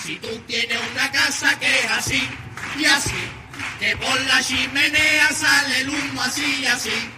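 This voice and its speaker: A man's voice: extremely high pitch, steady tone, measured pace, over music. A man with a Cádiz accent from Andalusia, performing comic carnival verses in a theatrical, declamatory rhyming delivery, part sung and part recited. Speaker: singer in a comic carnival quartet.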